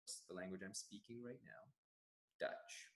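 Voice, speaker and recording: A man's voice: lowish voice, fairly even intonation, unhurried, fairly bright tone, echoey room. A young Canadian man whose first language is Farsi, a gym general manager answering interview questions in an earnest, reflective way with many restarts. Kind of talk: staff profile interview answers.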